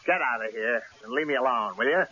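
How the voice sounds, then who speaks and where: An actor playing a cautious, exasperated newspaper editor in an old-time radio drama, brusque and argumentative. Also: male voice, mid-range voice, fast, close to an everyday voice. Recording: hissy, dull old radio broadcast recording.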